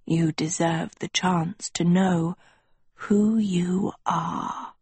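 A woman voicing an old, mysterious male schoolmaster in a breathy whisper, slow, smug and silkily condescending in a storybook reading. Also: lowish voice, fairly even intonation, darkish tone, dry recording, full character voice. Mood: softly persuasive.

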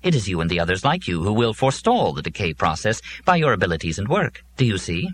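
A man's voice: lowish voice, very animated, fast talker, fairly bright tone. A man voicing a dour, scornful robot servant in a science fiction audiobook, making formal, ominous pronouncements. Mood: solemn, insistent.

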